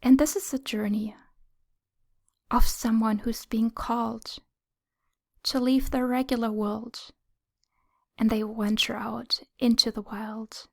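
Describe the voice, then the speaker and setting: A woman guides a meditation in a soft, whispery voice that is breathy and fairly high for a woman. She speaks very slowly in short phrases, leaving long pauses between them. Her delivery is gentle and soothing. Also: flat delivery.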